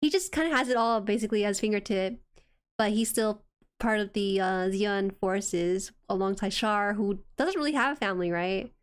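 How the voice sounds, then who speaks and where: A woman with a soft voice chatting casually and reacting excitably as she hosts an anime watchalong stream. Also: mid-range voice, normal speed, smooth.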